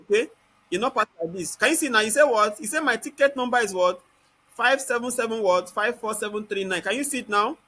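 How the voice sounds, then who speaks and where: A Nigerian-accented man giving an informal step-by-step software tutorial, constantly asking rhetorical questions and answering them himself. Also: high voice, a little fast, slight background noise.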